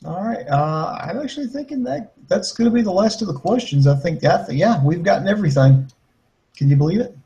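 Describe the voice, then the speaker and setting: A man's voice: sits high, expressive, a little fast, warm tone, muffled recording. A man co-hosting a wrestling podcast in casual chat, answering listener questions in a blunt, joking way. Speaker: podcast co-host.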